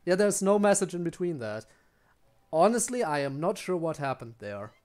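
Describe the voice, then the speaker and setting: A man's voice: on the high side, very animated, normal speed, darkish tone, faint music behind. A man giving casual, rambling live game commentary, musing aloud to his viewers. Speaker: game streamer.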